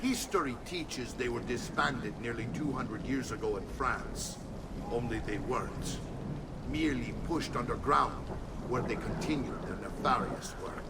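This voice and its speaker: A man acts out a battle-hardened Italian uncle who leads and teaches assassins in a video game. His voice is creaky and authoritative, with an Italian accent and theatrical delivery. It sits a little away from the mic amid noticeable background noise. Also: medium pitch, a little slow, far from the mic, natural voice. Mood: instructive. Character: commanding older Italian uncle, assassin mentor and war leader. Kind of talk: dramatic video game acting.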